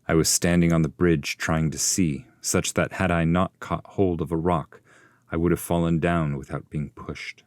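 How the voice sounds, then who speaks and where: A man reading classical epic poetry as an audiobook narrator, in a solemn, measured, literary delivery. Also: sits low, fairly even intonation, no room sound, clean studio sound.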